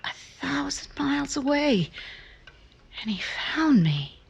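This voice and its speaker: A woman playing a disillusioned, wistful married woman in a 1950s-style radio drama, breathy and husky, often dropping to a half-whisper. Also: high-pitched, sing-song, takes it slowly, full character voice. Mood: anguished confession. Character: disillusioned married woman, wistful, bruised, romantic, gently challenging.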